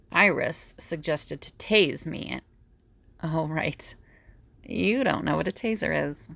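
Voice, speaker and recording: A woman's voice: low-pitched, gravelly, fairly bright tone, dry recording, exaggerated voice. A woman playing a practical, easily exasperated young woman in an audio drama, with a dry, explanatory manner.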